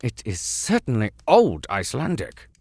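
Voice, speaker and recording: A man's voice: mid-range voice, wildly swooping pitch, normal speed, creaky, a little mellow, slightly rough, no echo, studio quality, heavily acted. Man acting an imperious old professor, wildly swooping delivery. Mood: excited, puzzled. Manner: declamatory, exclamatory.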